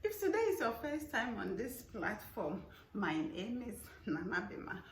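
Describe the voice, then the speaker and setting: A Ghanaian woman talking casually to her vlog viewers, her voice hoarse from the dry harmattan air. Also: medium pitch, sing-song, unhurried. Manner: chatty, mixes in local sayings. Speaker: vlogger.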